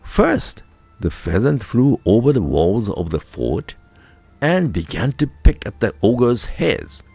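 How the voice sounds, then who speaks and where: Slow, expressive Japanese-accented male storyteller. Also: on the low side, creaky, husky, dry recording, soft background music. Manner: deliberate, expressive storybook delivery with dramatic emphasis. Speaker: storyteller, radio voice crew narrator. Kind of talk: scripted folk tale narration for listeners.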